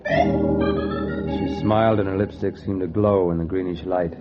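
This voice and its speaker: An actor playing a cocky, money-hungry gambler in a 1940s radio noir, with clipped, wry, slangy delivery. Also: male voice, low voice, wildly swooping pitch, unhurried, exaggerated voice. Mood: wry, tense. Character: hard-boiled, cocky male gambler, broke and money-hungry. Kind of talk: 1940s radio noir acting, first-person narration. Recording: dull vintage radio recording with music. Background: music.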